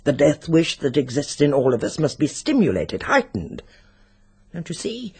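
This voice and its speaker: A British actor playing a sinister, secretive occult expert in a radio drama, speaking deliberately and insinuatingly. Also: male voice, on the high side, huge pitch swings, a little mellow, breathy edge, full character voice. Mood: intense, menacing.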